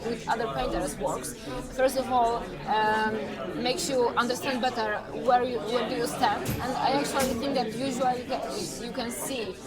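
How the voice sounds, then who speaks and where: A woman's voice: a little high, fairly even intonation, normal speed. A woman with a Polish accent, a judge, speaking thoughtfully and searching for words in a casual interview. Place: large convention hall. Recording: loud crowd behind.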